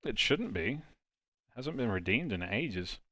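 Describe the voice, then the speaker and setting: A man's voice: lowish voice, very animated, measured pace, bright, forceful tone, no echo, studio quality. A man hosting a live stream, chatting casually and joking with friends. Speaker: host of a live dubbing stream.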